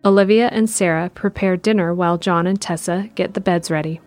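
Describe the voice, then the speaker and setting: Lady narrator for audio drama, lowish voice, scripted, light music under it. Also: steady tone, fairly quick, darkish tone. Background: faint music.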